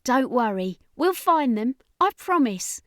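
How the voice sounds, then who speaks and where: A woman's voice: high-pitched, very animated, a little slow, no echo, clean studio sound, pitched above the performer's own voice. A woman voicing an earnest, caring young heroine in an audiobook, with an emotional manner. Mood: reassuring. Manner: reassuring, emotional.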